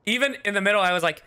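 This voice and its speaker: A man's voice: high-pitched, sharp tone, a little hiss or hum. A young man doing casual livestream commentary as a game streamer, sarcastic, joking and sweary.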